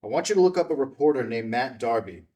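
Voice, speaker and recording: An actor playing a terse, guarded investigator with a dry edge in a screenplay table read. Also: male voice, mid-range voice, lively intonation, fast, warm tone, recorded from a distance, natural voice. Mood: brisk, businesslike.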